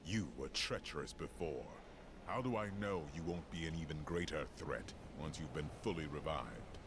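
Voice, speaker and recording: A man's voice: rumbling low, animated, normal speed, background noise. A man playing a noble, resolute angel turned mortal in a fantasy game, solemn and commanding. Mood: wary, resolute. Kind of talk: dramatic fantasy game acting.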